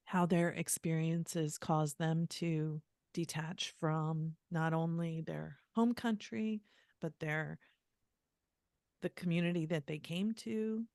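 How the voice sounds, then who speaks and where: Woman as podcast host, low and unhurried, gentle and emotional. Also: fairly even intonation, no room sound, clean studio sound. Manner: gentle, emotional, tearful at times. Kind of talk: casual interview talk.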